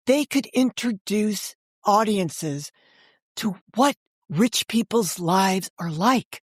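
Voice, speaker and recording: A woman's voice: mid-range voice, animated, slow, husky, dry recording, clean studio sound. A woman being interviewed as a guest, telling stories in a chatty, digressive way and voicing other people's remarks as she goes. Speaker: interview guest, author and storyteller. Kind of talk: animated anecdotal storytelling in casual conversation.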